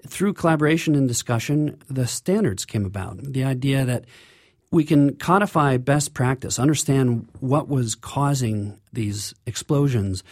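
A man's voice: mid-range voice, darkish tone, no room sound, studio quality. A man speaking as an engineer guest in an interview, explaining things in an earnest way.

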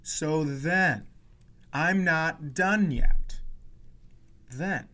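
Male podcast comedian, 40s, higher-pitched voice, casual storyteller with a sweary, exasperated rant style. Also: drawn-out words, pushed and bright. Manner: profane, exasperated ranting.

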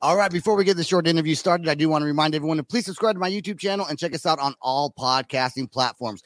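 A man's voice: a little high, quick pace, a bit bright, no echo. A man hosting a podcast at a convention, asking loose rambling questions and laughing often. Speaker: podcast host. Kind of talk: casual interview questions. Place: pop culture convention.

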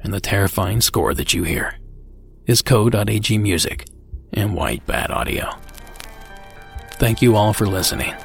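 A man hosting a podcast, reading his script in a low-key, measured way. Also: expressive, vocal fry, faint music behind. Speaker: podcast host.

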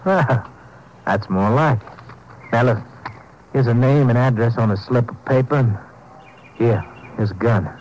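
An actor playing a slick, pushy criminal with a businesslike, wheedling manner in a 1950s radio drama. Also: male voice, medium pitch, measured pace, pauses often, a little mellow, lightly acted. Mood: satisfied, businesslike. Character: slick, pushy criminal schemer hiring a killer. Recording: noisy old 1950s radio recording.

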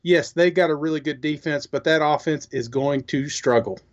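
Dude co-hosting a sports pod, kinda higher voice, bit muffled lofi mic, chatty. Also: male voice, moderate pace. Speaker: podcast co-host. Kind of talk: casual sports chat. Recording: slightly dull, lo-fi microphone sound.

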